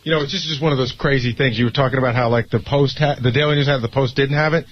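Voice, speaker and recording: Male radio voice, quick conversational delivery, medium pitch, somewhat muffled. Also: steady tone. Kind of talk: casual radio chat. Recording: dull radio recording.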